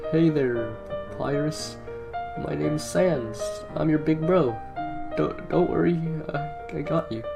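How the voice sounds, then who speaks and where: A woman voicing a sweet, protective young skeleton boy with a gravelly, hesitant, earnest delivery in a comic dub. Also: low-pitched, slow pace, exaggerated voice. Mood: tender, nervous. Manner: hesitant, soft-hearted, earnest. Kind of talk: animated comic dub acting. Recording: loud music under the voice. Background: loud piano music.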